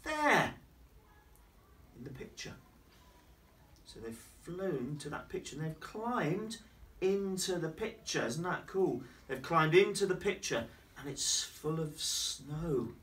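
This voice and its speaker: A man's voice: a little high, unhurried. A middle-aged man who is a headmaster, reading a picture book aloud in a classroom and chatting with the children about the pictures. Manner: animated, chatty asides to the children. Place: primary school classroom, indoors. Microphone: distant camera. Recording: distant camera in a classroom.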